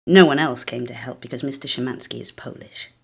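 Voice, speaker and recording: Low-voiced British woman reading a story. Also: a little fast, gravelly, breathy edge. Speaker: actress reading a short story. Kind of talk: first-person dramatic literary narration.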